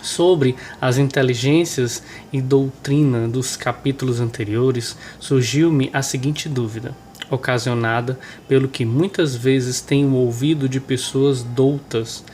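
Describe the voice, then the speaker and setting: Congested, hoarse Brazilian man, nasal voice. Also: mid-range voice, steady tone, a little slow, a little mellow, a little hiss or hum. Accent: Brazilian Portuguese. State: hoarse, stuffed up with a cold. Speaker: presenter reading a religious book aloud. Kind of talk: informal remarks and devotional reading aloud.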